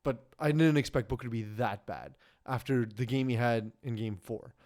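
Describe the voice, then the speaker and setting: A man hosting a casual sports podcast, chatty and rambling, quick to laugh. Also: mid-range voice, a little mellow. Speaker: podcast host.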